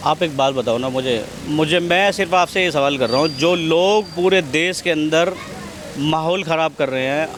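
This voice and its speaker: A man's voice: on the high side, moderate pace. A man giving his opinion in a street interview in an emphatic, argumentative way, often repeating his phrases. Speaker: member of the public being interviewed. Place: outdoors on a city street. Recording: outdoor street with traffic noise. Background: faint street and vehicle noise.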